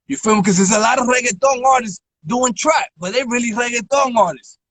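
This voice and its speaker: A man's voice: very high voice, brisk, a bit bright, dry recording. A man hosting a live-stream interview, talking emphatically and often checking in with his listener. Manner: emphatic, keeps asking "you feel me". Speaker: interview host. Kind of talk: casual interview chat. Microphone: phone on a live stream.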